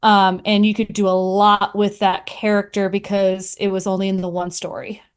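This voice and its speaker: A woman's voice: mid-range voice, level tone, moderate pace, clean tone. A woman giving her opinions as a podcast guest in casual chat, conversational and thinking aloud.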